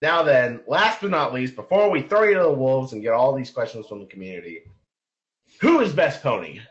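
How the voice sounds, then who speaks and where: A man with a middle-pitched, very animated voice hosts a casual podcast interview. He asks questions over an online voice chat, and the sound is muffled and lo-fi. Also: brisk.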